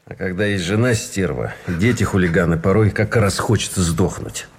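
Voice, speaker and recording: An actor playing a cynical, fatalistic gambler officer in a radio drama, mocking and theatrical, with a husky voice. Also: male voice, deep voice, normal speed, a little hiss or hum. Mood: needling. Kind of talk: Russian radio drama acting. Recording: faint music behind the voice.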